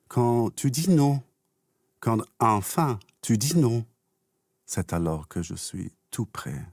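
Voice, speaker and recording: Male poetry reader, low register, extremely unhurried and deliberate delivery, dry sound. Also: dark tone, dry recording. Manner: measured, deliberate phrasing with pauses. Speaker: poet reciting own poem. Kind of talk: slow poetry recitation.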